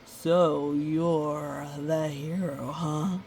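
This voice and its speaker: Woman putting on a slow, menacing big storybook wolf. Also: sits low, fairly even intonation, a little mellow, a little hiss or hum, pitched below the performer's own voice, full character voice. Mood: smug, mocking. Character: big menacing male wolf, smug and mocking, later scared.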